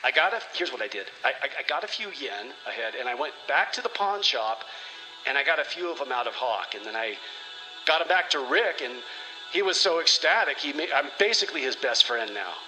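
A man's voice: medium pitch, big pitch swings, fairly quick, sharp tone, poor audio. A man playing a sly, chummy rock roadie, talking in a casual, self-satisfied way in comedy radio theater. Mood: smug. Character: sly, chummy male rock roadie and small-time schemer. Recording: music playing behind the voice.

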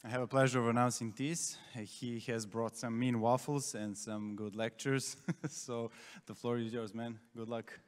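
A man's voice: mid-range voice, fairly even intonation, moderate pace, hardly any pauses. A man hosting a conference session, casually introducing the next speaker with a joke. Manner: casual, joking. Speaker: event host. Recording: reverberant hall from off mic.

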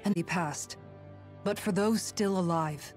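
A woman's voice: fairly low pitch, a little slow, darkish tone, faint music behind, lightly acted. An actress playing a firm, blunt yet compassionate young warrior woman, earnest and direct, in a dramatic video game.

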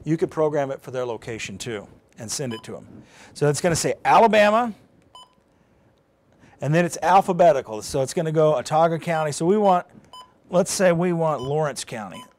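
Middle aged tv weather guy, bit higher pitched, doing a casual step by step demo in studio, talks himself through it. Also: lively intonation, a little fast, a little mellow. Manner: informal, thinking aloud while handling a device. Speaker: TV weather presenter. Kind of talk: live how-to demonstration. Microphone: lapel mic.

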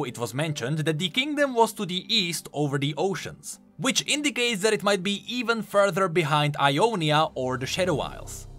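A man's voice: fairly high pitch, sharp tone. A man giving enthusiastic, emphatic explanatory commentary on video game lore. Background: faint music.

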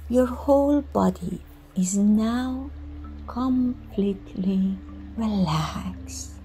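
Very slow-speaking woman guiding a meditation. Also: mid-range voice, dark tone, a little hiss or hum. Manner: soothing, instructing in short unhurried phrases with pauses. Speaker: meditation guide. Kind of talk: scripted guided meditation. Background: music.

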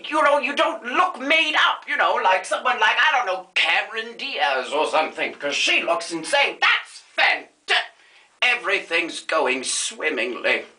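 An aging screen diva, vain, flighty and bawdy, who rambles through a comedy makeup lesson, as a performer puts her on. Her voice is piercingly bright and swoops wildly up and down as she drops names in a grand, theatrical manner. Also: medium pitch, big pitch swings, normal speed, echoey room. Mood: campy. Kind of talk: comedy sketch, makeup tutorial parody.

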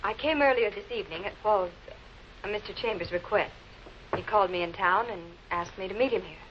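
A woman's voice: medium pitch, brisk, fairly bright tone, slight background noise, natural voice. An actress with an American accent playing a poised woman in a 1940s television murder mystery. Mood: guarded, explaining. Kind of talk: 1940s American television crime drama acting. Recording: muffled old film soundtrack with music.

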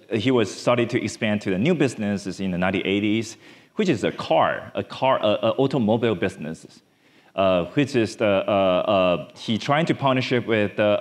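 A man from Taiwan, giving a conference talk from a large stage on a lapel mic, halting with many fillers and restarts. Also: on the low side. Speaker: startup founder speaker.

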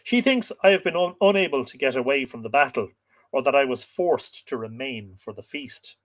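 A man's voice: medium pitch, lively intonation, moderate pace, natural voice. A man voicing a lovesick, impatient warrior chief from a storybook, fervent and dramatic. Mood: mournful longing.

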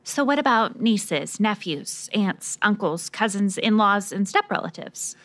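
A woman's voice: medium pitch, lively intonation, a little slow, a bit bright. A woman playing a plucky, skeptical investigative reporter in a scripted noir radio drama, wry and questioning.

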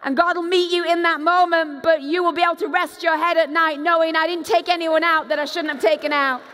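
Woman, pastor, high voice, echoing hall, lively sermon. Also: fairly quick. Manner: animated preacher, acts out quoted voices, moves around the stage. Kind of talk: conference sermon. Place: large dark stage with hall reverb. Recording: long hall reverb.

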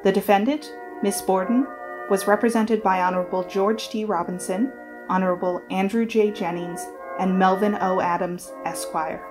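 A woman's voice: medium pitch, fairly even intonation. Formal woman narrator over music. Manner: formal, deliberate announcing. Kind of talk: scripted narration of a trial drama.

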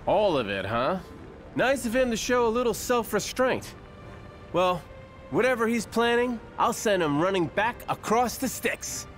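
An actor playing a friendly, confident young male hero in an animated fantasy game, earnest and lightly wry. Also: high-pitched, unhurried, faint music behind, exaggerated voice.

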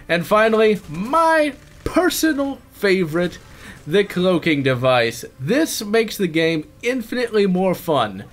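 Guy doing a game review voiceover, pretty high voice, swings up and down a lot, opinionated. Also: fairly bright tone. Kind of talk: scripted game review voice-over.

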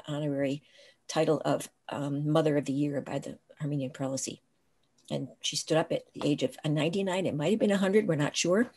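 A woman's voice: deep voice, a little mellow, husky. An older woman and writing professor, talking reflectively in a casual video-call interview from a room at home, with frequent restarts.

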